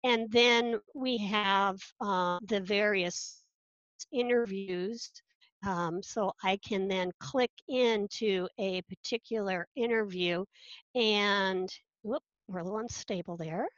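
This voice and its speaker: A woman presenting over a video call, talking through a live screen demo in a measured way. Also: mid-range voice, a bit bright, no room sound. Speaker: faculty presenter. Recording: dull, dry call audio.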